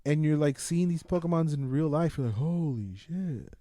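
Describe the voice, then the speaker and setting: A man's voice: on the high side, lively intonation, normal speed, dark tone, dry recording, studio quality. A man co-hosting a film podcast, talking casually and bluntly with opinions and some swearing, repeating himself for emphasis. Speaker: podcast co-host reviewing films. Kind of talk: casual movie banter with swearing.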